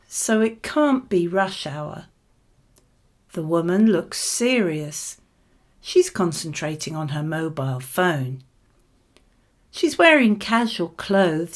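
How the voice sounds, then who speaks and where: A woman gives scripted sample answers as a model exam candidate. Her voice sits a little low and she speaks very slowly. The phrasing is measured and clear.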